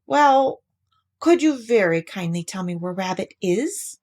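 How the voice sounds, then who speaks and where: A woman's voice: mid-range voice, expressive, unhurried, darkish tone. A woman voicing a friendly, naive, slightly greedy male toy bear in a children's storybook, puzzled and polite. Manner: hums nonsense syllables, puzzled and polite.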